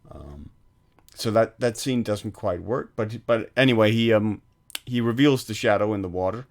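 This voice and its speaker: A man's voice: fairly low pitch, moderate pace, darkish tone. A man co-hosting a film podcast, retelling movie scenes in a rambling, casual way with many restarts and laughs. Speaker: podcast co-host.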